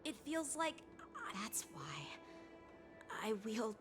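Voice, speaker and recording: A woman's voice: a little high, big pitch swings, takes it slowly. A woman playing an earnest, proud teenage boy swordsman in an anime-style game. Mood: solemn confession. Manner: earnest, solemn. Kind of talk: dramatic anime game voice acting. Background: game music.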